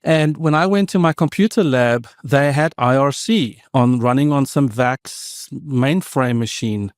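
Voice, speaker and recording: A man's voice: medium pitch, unhurried, dry recording, clean studio sound. A man in his fifties from South Africa, with Afrikaans as his first language and a South African accent, telling stories as a guest on a podcast in a reflective, meandering way with many asides. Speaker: podcast guest, tech founder. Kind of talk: conversational interview storytelling.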